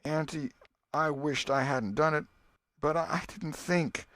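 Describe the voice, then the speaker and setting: A man voicing an earnest young country boy who pleads in halting, colloquial speech in a storybook reading. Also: fairly high pitch, steady tone, fairly bright tone, no echo, pitched above the performer's own voice. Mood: pleading. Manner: halting, colloquial boyish protests.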